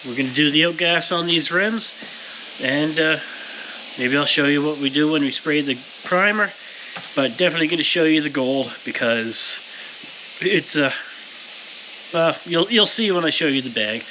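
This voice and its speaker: A man's voice: a little high, moderate pace, long pauses, noisy background. A man hosting a casual workshop vlog, speaking to viewers in an informal, folksy way. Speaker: host of a powder coating workshop video. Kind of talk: casual to-camera shop vlog.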